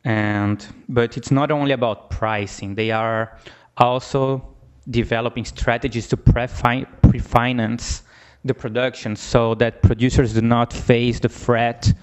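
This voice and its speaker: A man from Brazil with a Brazilian Portuguese accent, presenting research at a conference in a halting way, with many restarts and fillers. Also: medium pitch, fairly even intonation, smooth, dull audio. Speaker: academic presenter. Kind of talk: conference talk.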